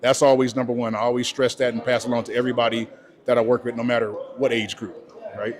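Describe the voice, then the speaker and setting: A man's voice: medium pitch, flat delivery, quick pace. A man in his forties from California, a bodybuilding coach, talking casually in an interview and often adding "you know" and "right?". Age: 40s.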